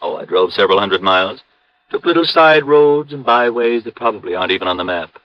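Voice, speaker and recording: Male actor for genteel playboy suspect, fifties radio, affected and slippery, slightly deep, dull dry recording. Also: animated, fairly quick, natural voice. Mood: vague, evasive. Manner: languid, affected, genteel phrasing. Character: urbane, effete male playboy suspect, evasive and affronted. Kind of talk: 1950s radio drama acting.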